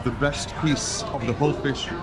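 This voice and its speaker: A man's voice: mid-range voice, fairly even intonation, moderate pace, a loud crowd. A travel vlogger with Kenyan and Swiss roots, speaking in an ordinary mid-range voice. He talks casually while he eats, often through a mouthful, and lists flavors and textures. The sound comes from a camera mic at outdoor eateries. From Kenya and Switzerland. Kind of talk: casual on-camera food tasting.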